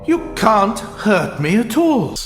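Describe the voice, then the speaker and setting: A man's voice: sits high, very animated, slow, roomy sound, full character voice. An actor playing a frightened man pleading with a monster in an audio drama, urgent and theatrical. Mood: wary, disbelieving.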